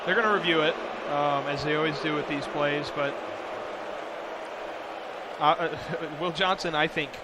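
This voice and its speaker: Higher-voiced American man analyzing football amid noise. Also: steady tone, measured pace, pauses often. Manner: stat-minded, conversational explaining. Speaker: radio color commentator. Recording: noisy stadium broadcast background.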